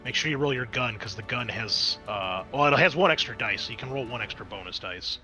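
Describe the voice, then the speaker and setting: A man's voice: mid-range voice, animated, a little fast, bright, forceful tone, faint music behind. A man acting as game master, casually explaining rules and narrating a tabletop game.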